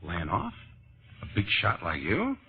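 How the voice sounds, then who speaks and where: An actor playing a down-and-out vaudeville father, proud and bluffing, gruff but fond, with slangy, stammering bluster in an old radio drama. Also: sits low, huge pitch swings, unhurried, exaggerated voice. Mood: surprised, evasive. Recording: dull old radio broadcast, music behind.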